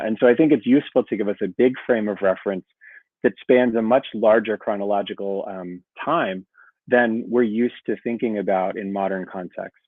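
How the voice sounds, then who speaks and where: A man's voice: lowish voice, dry recording. A man lecturing as a guest, answering a question in a thoughtful way with many fillers over a video call. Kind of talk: academic Q&A answer. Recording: tinny phone-like call audio.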